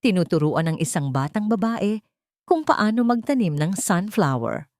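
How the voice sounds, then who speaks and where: A woman reading a dramatic love story as a narrator, in emotive, measured phrases. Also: medium pitch, very animated, a little mellow, dry recording, studio quality.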